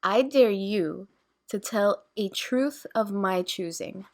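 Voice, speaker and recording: A woman's voice: mid-range voice, slow pace. A woman playing a sarcastic, blunt young woman with simmering resentment in an audio drama. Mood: defiant. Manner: snarky, dismissive. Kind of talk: amateur audio drama acting.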